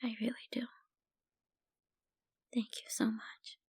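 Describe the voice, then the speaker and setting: Woman acting a slow, halting, troubled young painter. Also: fairly high pitch, level tone, husky, no echo, whispered, studio quality. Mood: grateful, gentle. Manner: halting, soft-spoken, with sighs and trailing phrases. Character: troubled young woman painter, a stranger venting family worries. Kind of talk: ASMR roleplay, intimate monologue.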